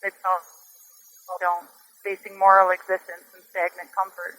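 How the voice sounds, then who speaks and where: A woman reading her own poem in a deliberate, declamatory manner. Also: on the low side, monotone, a bit bright, low-quality recording. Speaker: poet reading own work. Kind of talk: poetry recitation.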